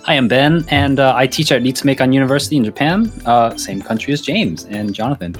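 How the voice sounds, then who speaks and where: A man's voice: mid-range voice, animated, fast, faint music behind. A man from Virginia whose first language is Thai, a university lecturer giving rambling casual interview answers full of fillers and restarts. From Virginia, USA.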